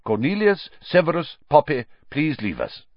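A man playing a calm old wizard headmaster in an audiobook, quiet, measured and authoritative. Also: fairly high pitch, dry recording, lo-fi sound, pitched above the performer's own voice, full character voice. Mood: firm command.

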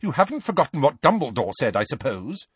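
A man voicing a fussy, protective middle-aged mother in an audiobook, sharp, emphatic and scolding. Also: a little high, big pitch swings, brisk, breathy edge, no echo, voice pushed up, exaggerated voice. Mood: stern, sharp. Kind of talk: audiobook character voice.

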